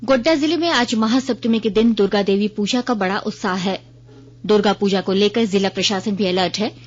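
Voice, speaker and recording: A woman's voice: mid-range voice, a little fast. A woman reads a formal scripted regional news bulletin on the radio as the newsreader. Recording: dull lo-fi radio broadcast.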